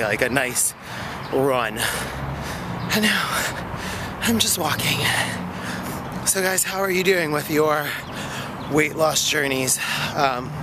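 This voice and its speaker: A man in his thirties, out of breath, rambles into a phone held at arm's length as he walks a park path. He talks in a chatty way, full of fillers. Clear outdoor noise and passing cars sit under his medium-pitched voice. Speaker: vlogger. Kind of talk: casual walking vlog. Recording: noisy outdoor phone recording.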